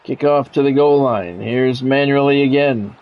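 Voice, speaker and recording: A man's voice: medium pitch, moderate pace, highs cut off, slight background noise. An American man calling live football video game play-by-play in clipped announcer phrases, with casual asides. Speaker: play-by-play streamer.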